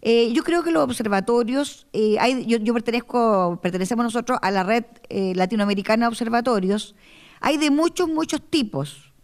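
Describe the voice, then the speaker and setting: A woman, a guest panelist and director, speaking in a measured way with some hesitations. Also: a little high, a little mellow. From Chile. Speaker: invited panelist, observatory director. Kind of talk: public talk at a panel. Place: university lecture hall.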